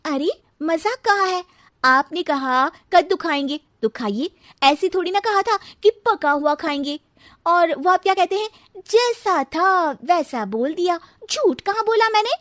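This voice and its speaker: Woman acting a high-pitched, exasperated young bride. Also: animated, unhurried, fairly bright tone, dry recording, clean studio sound, heavily acted. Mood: mock-innocent, sharp. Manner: indignant questioning, exclamatory. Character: sharp-witted, exasperated young bride confronting boastful in-laws. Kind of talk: comic Hindi audio story dialogue.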